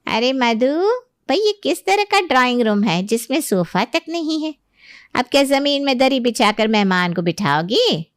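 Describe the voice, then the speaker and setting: A woman's voice: high-pitched, very animated, measured pace, no echo, studio quality. A woman voicing a nosy middle-aged neighbourhood auntie who taunts and mocks in a moral story. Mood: mocking.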